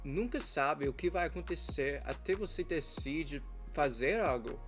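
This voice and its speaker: A young American man, a native English speaker with an American accent, telling a personal story in a cheerful, chatty vlog manner with frequent laughs. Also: a little slow. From United States. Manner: cheerful, chatty, laughs often, lively pitch jumps. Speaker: language vlogger. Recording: faint background guitar music.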